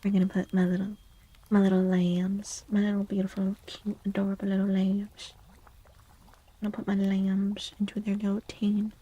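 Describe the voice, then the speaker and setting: Soft spoken asmr lady, breathy n whispery, mellow, cutesy and affectionate, close to the mic. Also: medium pitch, monotone, normal speed, muffled recording. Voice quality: breathy, often slipping into whisper. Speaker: streamer doing tarot readings. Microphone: close microphone.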